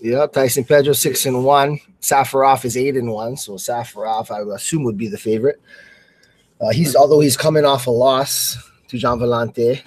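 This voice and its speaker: Male radio co-host from the US, mid-pitched voice, loose and slangy sports chat, dry close sound. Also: fairly even intonation, moderate pace, a little mellow, no room sound. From United States. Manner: informal, slangy. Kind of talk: casual live sports chat.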